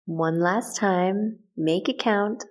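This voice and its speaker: A woman leading a guided meditation in a calm, gentle, unhurried way with short measured phrases. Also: medium pitch. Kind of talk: guided meditation, soft instructional narration.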